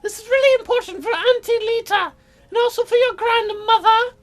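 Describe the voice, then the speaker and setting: Very high, shrill nagging mother. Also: normal speed, soft background music. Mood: pushy, scolding. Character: shrill, pushy middle-aged mother nagging her teenage daughter. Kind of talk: visual novel voice-over put on by a let's-player.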